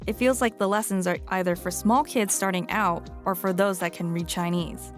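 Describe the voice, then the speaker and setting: A woman hosting a podcast, narrating in a reflective, measured way and interviewing a guest. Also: mid-range voice. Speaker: podcast host.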